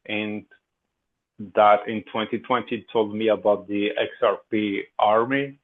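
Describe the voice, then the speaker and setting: A man with an accent mixing French and Portuguese, chatting casually as a podcast guest, hesitant with many fillers. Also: fairly low pitch. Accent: French and Portuguese mix. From France. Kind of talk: casual chat. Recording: tinny phone-like line.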